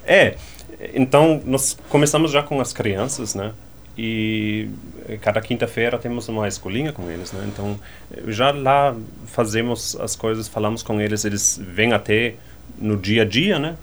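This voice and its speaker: A man's voice: lowish voice, normal speed, slight background noise. A man whose first language is German, speaking Portuguese with a German accent and slightly off grammar, often tagging his sentences with questions. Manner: slightly ungrammatical, frequent tag questions. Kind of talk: conversational interview answers.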